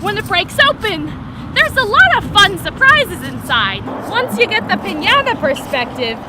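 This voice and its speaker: A woman playing a raspy, high-voiced street eccentric. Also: very animated, normal speed, a loud crowd, heavy background noise. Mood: ranting. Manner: rambling, confronts and needles passersby directly. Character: eccentric street woman, defiantly proud of her madness. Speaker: stage actor. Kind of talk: one-woman stage monologue. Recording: distant voice under loud music.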